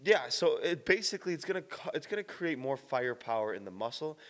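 A man explaining a supplement in a casual, blunt vlog monologue, swearing now and then, with a slightly raspy early-morning voice. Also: mid-range voice, big pitch swings, fast talker, a bit bright, long reverb tail. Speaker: fitness vlogger.

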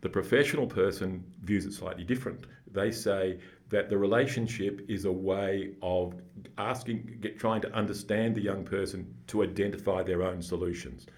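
A man with a booming voice, speaking as a psychologist guest, explaining things slowly and deliberately. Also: steady tone, darkish tone. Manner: slow, deliberate, explanatory, with restarts. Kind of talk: calm, measured podcast conversation.

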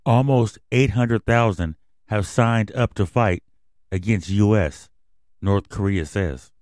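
Low-voiced man hosting a podcast, dry sound. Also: slow, darkish tone, dry recording. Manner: loose, blunt and conversational, with a grand on-air persona. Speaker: podcast host. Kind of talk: casual podcast news talk.

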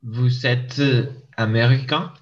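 Male student from Mexico, slow and careful, heard through dull lo-fi video call sound. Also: medium pitch, fairly even intonation. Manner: tentative, careful practice of basic French phrases.

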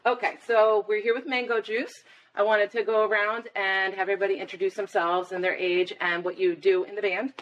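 A woman's voice: mid-range voice, flat delivery, fairly quick, fairly bright tone, highs cut off. A middle-aged woman hosting a podcast, chatty and upbeat, laughing easily with her guests. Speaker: podcast host. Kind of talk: podcast interview with intro narration.

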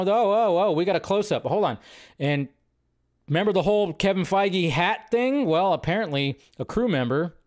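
A man hosting a livestream with casual, gushing movie-news commentary, rambling in slangy, emphatic phrases and repeating himself for emphasis. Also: medium pitch. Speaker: livestream host.

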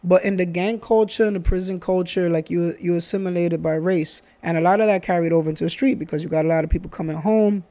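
An earnest man from the Bronx, somewhere in his thirties, talking through his views in a loose, conversational way. His voice sits high for a man yet sounds mellow and soft-edged. He restarts sentences often and repeats himself for emphasis. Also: steady tone, fast, few pauses. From Bronx, New York. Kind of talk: casual chat.

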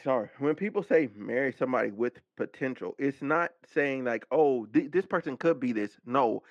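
A man hosting a casual podcast discussion, circling back haltingly to explain his point through dull audio. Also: mid-range voice, animated, no echo. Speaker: podcast lead host.

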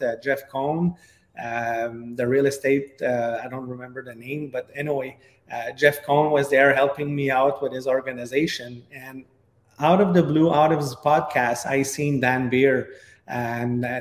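A middle-aged man with a Quebec French accent talks as an interview guest in a conversational, halting way. His voice is mid-pitched. The room rings with clear echo and a long reverberant tail. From Quebec, Canada. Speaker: podcast guest, real estate agent.

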